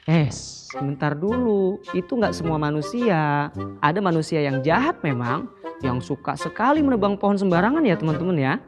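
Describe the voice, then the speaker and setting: A woman telling a children's story in an animated, sing-song way, addressing the children directly. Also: deep voice, moderate pace, music in the background.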